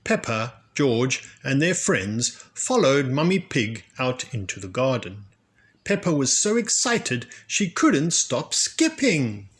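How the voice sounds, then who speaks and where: A man reads a children's storybook aloud in an expressive way. His delivery is slow and deliberate, and his pitch moves in lively, animated swings. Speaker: storybook reader, children's read-aloud channel. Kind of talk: expressive scripted storybook narration.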